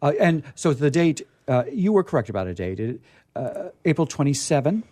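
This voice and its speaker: A man's voice: fairly high pitch, big pitch swings, a little fast, warm tone, no echo. A man hosting a casual radio talk, chatting in a whimsical way and tending to repeat his phrases. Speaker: radio show host. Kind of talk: casual chat, promoting book events.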